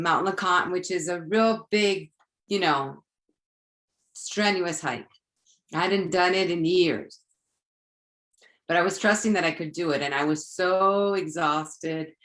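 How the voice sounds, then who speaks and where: A woman from Florida, moderating an online group, tells a personal story casually and acts out the dialogue as she goes. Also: on the low side. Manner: animated retelling with acted-out dialogue. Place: online live video call.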